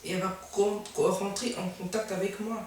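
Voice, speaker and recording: A woman's voice: medium pitch, fairly even intonation, normal speed, a little mellow, slight background noise. A woman interviewing a guest, supportive, with frequent short affirmations and follow-up questions. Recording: echoey room, mic at a distance.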